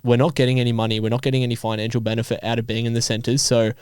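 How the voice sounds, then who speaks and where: Casting: Australian man, mid-twenties, clear resonant tone, informal thinking-aloud podcast host, tight dry sound. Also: medium pitch, level tone, very fast, darkish tone, no room sound. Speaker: podcast host and elite athlete. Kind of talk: casual podcast conversation.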